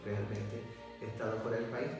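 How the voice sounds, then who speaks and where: Venezuelan guy 40s, talks slow and careful w lots of fillers, echoey hall sound. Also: lowish voice, level tone. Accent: Venezuelan Spanish. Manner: measured, hesitant with many fillers, gesturing. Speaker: pastor and missionary, former doctor. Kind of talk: testimony interview. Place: indoor room, seated on sofa. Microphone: far camera. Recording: echoey room from a distance, music behind.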